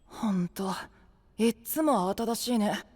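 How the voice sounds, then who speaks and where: A man's voice: high voice, lively intonation, unhurried, darkish tone, in a large hall. An actor playing a cheeky, aloof young boy with a dry, teasing manner in an anime-style voice drama.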